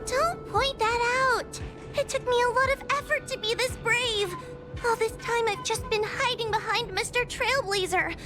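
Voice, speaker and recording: A woman's voice: very high-pitched, a little slow. A woman voicing a timid, easily frightened young girl in a video game, anxious and hesitant in manner. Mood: flustered, self-doubting. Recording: game music behind the voice.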